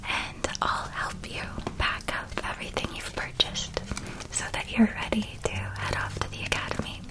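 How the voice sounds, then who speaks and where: A woman voicing a gentle, reassuring witch guide in a whispered ASMR roleplay, hushed and soothing as she tells things. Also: close to an everyday voice, rough recording. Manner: hushed, soothing, unhurried storytelling. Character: gentle, reassuring witch guide helping a young academy student.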